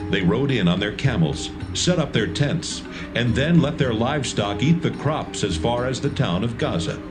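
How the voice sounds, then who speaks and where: A man narrating scripture in a steady, plain manner. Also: mid-range voice, normal speed, music in the background.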